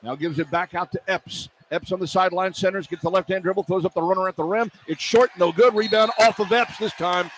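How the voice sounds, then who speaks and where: A man doing live basketball play-by-play on the radio as the announcer, animated and quick to exclaim at the action. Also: high-pitched. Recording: poor radio feed, clear background noise.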